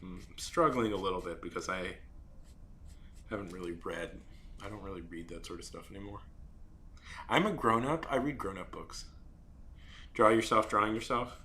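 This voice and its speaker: A young man chatting casually on a live stream while drawing, rambling and halting with many fillers and restarts. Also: low-pitched, pushed and bright, a little hiss or hum. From Saudi Arabia.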